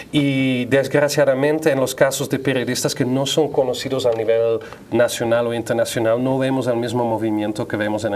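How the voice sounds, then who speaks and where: Medium-pitched man, halting panel commentator. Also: slight background noise. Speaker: guest, press freedom advocate. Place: TV studio.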